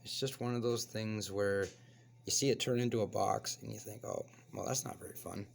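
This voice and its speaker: Hoarse, gravelly-voiced man reviewing a toy. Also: lowish voice, moderate pace. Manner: relaxed, chatty, explains as he handles the toy. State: hoarse, getting over a cold. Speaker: toy reviewer, channel host. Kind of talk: casual unboxing review.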